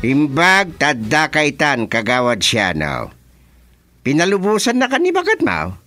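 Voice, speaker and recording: An actor playing an officious, teasing village chairman with an Ilocano accent, questioning in a comically deliberate way in a radio comedy. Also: fairly high pitch, wildly swooping pitch, sharp tone, faint music behind, exaggerated voice. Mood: probing.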